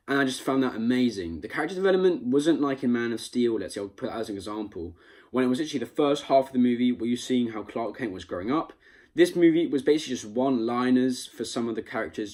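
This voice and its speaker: A man's voice: mid-range voice, darkish tone. Young london guy doing a casual vlog review, opinionated, bit sweary, really stresses his points. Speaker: amateur film reviewer.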